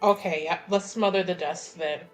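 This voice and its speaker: A woman's voice: lowish voice, moderate pace, soft background music. A woman playing a tabletop game, chatting casually and expressively about what her character does. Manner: expressive, sweary, informal.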